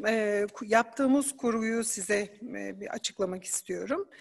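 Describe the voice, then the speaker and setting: A woman giving an academic conference presentation, explaining slides in a measured way with occasional hesitant fillers. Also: a little high, big-room sound.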